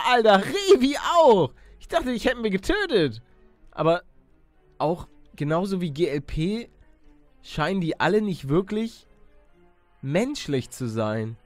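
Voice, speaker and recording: A man doing excitable let's play commentary, exclamatory and slangy, talking to viewers and himself. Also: sits high, no room sound. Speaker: gaming YouTuber and let's player.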